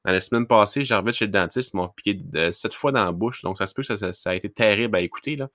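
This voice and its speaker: A man's voice: on the low side, fast talker, a bit bright, dry recording, studio quality. A man with a Québécois accent from Lac-Saint-Jean, hosting a hockey scouting podcast in a rambling, casual way with many fillers. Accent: Québécois French, Lac-Saint-Jean. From Quebec, Lac-Saint-Jean. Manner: rambling, many fillers and restarts, laughs at himself. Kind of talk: casual solo podcast talk.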